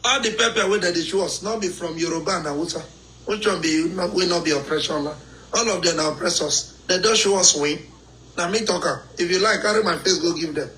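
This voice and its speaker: Nigerian male caller, high and bright, boastful, Pidgin-joking. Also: brisk, a little hiss or hum, poor audio. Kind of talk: live call-in chat.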